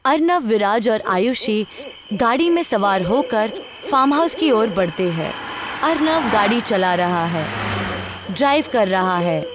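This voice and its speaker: A woman's voice: medium pitch, animated, unhurried, noisy background. A woman narrating a scripted horror story. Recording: music under the voice.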